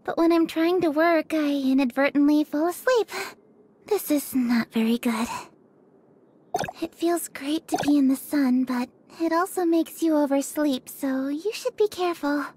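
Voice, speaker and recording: An actress voicing a gentle, earnest young nurse in a video game, with soft, polite and melancholy delivery. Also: female voice, sits high, a little slow, slight background noise. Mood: gentle, wistful. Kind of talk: video game character voice lines.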